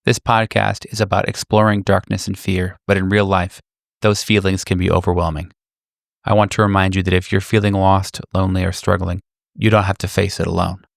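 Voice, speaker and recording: Low, gravelly-voiced man hosting a podcast. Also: flat delivery, a little fast, dry recording, studio quality. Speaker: podcast host and game master. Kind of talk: casual sign-off talk.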